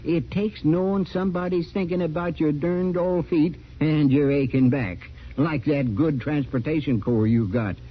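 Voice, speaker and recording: Man acting a husky, crusty old frontier codger. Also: fairly high pitch, normal speed, hardly any pauses, lightly acted. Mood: folksy, earnest. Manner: folksy, rustic drawling phrasing. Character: crusty, folksy, excitable old frontier town doctor. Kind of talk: old-time radio drama acting. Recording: dull old radio recording.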